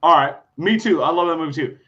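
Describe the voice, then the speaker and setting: A man's voice: a little high, fast talker, fairly bright tone. A man, co-hosting a casual podcast, blunt, profane and argumentative. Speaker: podcast co-host. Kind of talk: crude casual chat. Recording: slightly dull lo-fi sound.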